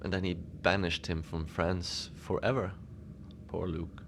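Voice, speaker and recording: Casting: male actor as unbothered lone polar station keeper, deep-voiced with gravelly fry, deadpan musings for a fiction podcast radio log. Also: a little slow, background noise. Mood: musing storytelling. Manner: musing, conversational, deadpan about absurd things. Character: easygoing, whimsical lone Antarctic station manager, rambling unbothered. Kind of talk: first-person audio fiction monologue, rambling radio log.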